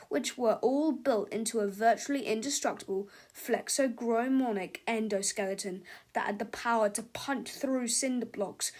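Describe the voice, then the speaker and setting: A woman reading a children's book aloud as scripted narration. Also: fairly high pitch.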